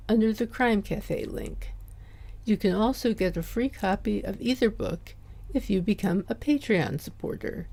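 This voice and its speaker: Brief: mellow, gravelly-voiced woman host, friendly scripted podcast intro. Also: mid-range voice.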